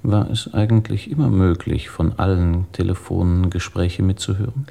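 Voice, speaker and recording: An actor playing a sober, terse police investigator who puts probing questions in a radio drama, with a gravelly voice. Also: male voice, sits low, normal speed, dark tone. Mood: matter-of-fact. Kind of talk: German radio drama acting.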